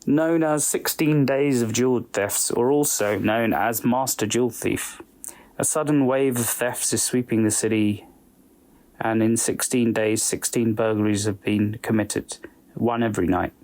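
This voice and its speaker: Mid-pitched man introducing a show. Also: measured pace, darkish tone. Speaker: podcast host. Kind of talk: semi-scripted show introduction.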